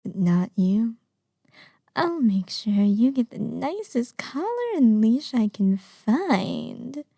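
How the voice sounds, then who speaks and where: A woman acting an obsessive, lovesick young kidnapper in an intimate ASMR roleplay, sweetly menacing with breathy murmurs and sighs. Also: fairly high pitch, expressive, slow, mellow, no room sound, clean studio sound, heavily acted. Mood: possessive, doting.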